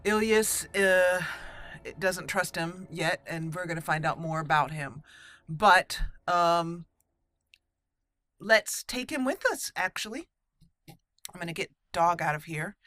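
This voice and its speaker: A woman hosting a roleplay let's play, voicing dialogue deliberately and theatrically and adding her own commentary. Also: fairly low pitch, a bit bright, no echo. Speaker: gaming channel host.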